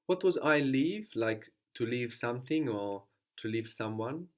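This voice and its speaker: A man's voice: mid-range voice, animated, measured pace. A man teaching a language lesson, patiently prompting his student with questions and repeating the answers back.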